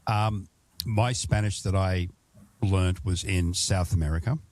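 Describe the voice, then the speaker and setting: A man's voice: deep voice, steady tone, unhurried, a little mellow. An Australian man hosting a travel podcast, chatting casually and rambling through his questions, often restarting them. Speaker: podcast host. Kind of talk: casual interview chat.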